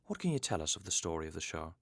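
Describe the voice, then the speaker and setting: A man hosting an interview from a script, in a formal, measured manner. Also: low voice, big pitch swings, gravelly, dry recording, studio quality. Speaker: interview host.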